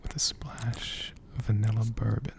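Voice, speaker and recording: Slow, whispering male ASMR host. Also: fairly low pitch, steady tone, creaky. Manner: gentle, hushed, unhurried. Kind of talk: soft-spoken whispered ASMR.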